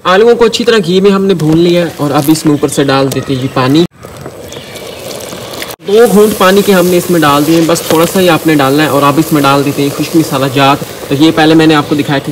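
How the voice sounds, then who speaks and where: A man's voice: fairly high pitch, brisk. A man with a Pakistani accent, chattily explaining a recipe as a casual village cooking vlogger. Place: outdoors in village fields and a brick-walled courtyard. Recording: clear outdoor ambient noise.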